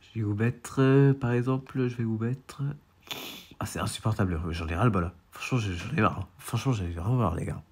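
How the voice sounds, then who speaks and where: A man's voice: fairly low pitch, a little fast, a little mellow, breathy edge. A young man with a stuffy, congested nose from a cold, rambling casually through a weather radar while complaining about being sick. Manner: rambling, repetitive, full of restarts. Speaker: storm chaser and weather vlogger. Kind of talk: casual screen-share walkthrough.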